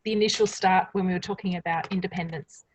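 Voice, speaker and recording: A woman's voice: on the low side, steady tone, quick pace, slightly rough, no room sound, low-quality recording. A woman hosting a casual, reflective conversation. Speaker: podcast host.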